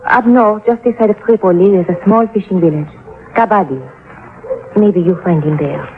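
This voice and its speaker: A woman's voice: medium pitch, moderate pace, natural voice. An actress playing a meek foreign woman in a radio drama, speaking simple broken English with a French accent. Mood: hesitant, confiding. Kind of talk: 1950s radio drama acting. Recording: thin, phone-like old radio recording.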